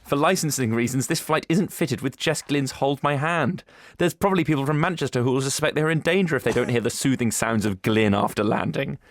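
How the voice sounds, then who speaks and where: A man's voice: mid-range voice, a little fast, few pauses, lightly acted. A man playing a snobbish, deluded British detective with a pompous, grandiose way of talking in a comic audio drama.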